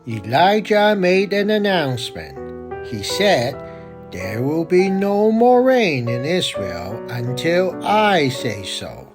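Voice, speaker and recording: Woman voicing a timid, low-pitched storytelling monkey. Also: music in the background. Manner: slow, deliberate, expressive delivery for children. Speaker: storyteller and co-host. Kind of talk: children's puppet show and storybook reading.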